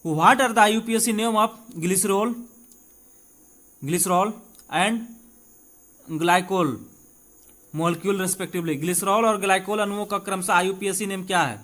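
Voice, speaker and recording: A man's voice: sits high, fairly even intonation, fairly bright tone. A man with an Indian accent teaching an exam-prep lesson informally, repeating key points and checking in with listeners. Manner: reads questions slowly and deliberately in English, then explains in Hindi. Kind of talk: exam-prep chemistry lesson, explaining questions aloud.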